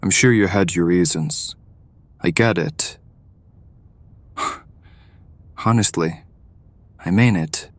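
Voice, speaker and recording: Audio drama: male actor as guarded, caring mercenary, plain-spoken, very low, dry close sound. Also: slow pace, long pauses, dry recording. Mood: earnest. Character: gruff-worded, guarded but caring male hireling.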